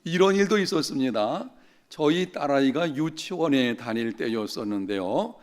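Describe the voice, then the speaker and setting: A middle-aged male pastor preaching in a church, with a voice of ordinary pitch for a man. He tells stories in an easy way and slows down on the phrases he wants to stress. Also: lively intonation. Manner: storytelling, slowing down to stress key phrases. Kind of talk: sermon. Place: church sanctuary.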